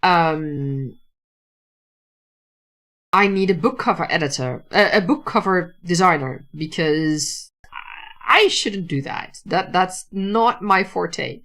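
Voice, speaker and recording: A woman's voice: lowish voice, animated, takes it slowly, fairly bright tone. A Dutch woman speaking English as a second language, talking casually about writing on a livestream with many restarts and fillers. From Netherlands. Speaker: writer hosting a livestream.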